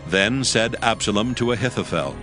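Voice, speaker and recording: Deep-ish guy reading scripture steady, soft music under. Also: normal speed. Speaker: narrator. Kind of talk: scripture narration. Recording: faint music bed.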